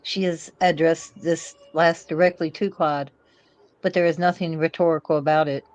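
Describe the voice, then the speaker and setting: A woman's voice: low-pitched, fairly even intonation, moderate pace, darkish tone, no room sound. A woman reading screenplay stage directions aloud as scripted narration. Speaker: co-narrator, reader. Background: faint music.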